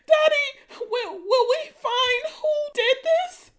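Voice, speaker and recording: A man voicing an angry, grieving preteen princess in a shrill, tearful voice for a dark fairy-tale audiobook. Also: takes it slowly, a little hiss or hum, full character voice. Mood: desperate pleading.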